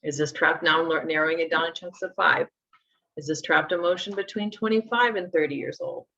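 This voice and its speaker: A woman's voice: deep voice, fairly quick, gravelly, pushed and bright. A woman in her forties teaching a live workshop, conversational as she talks through each step aloud. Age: 40s. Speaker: instructor. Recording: dull lo-fi sound.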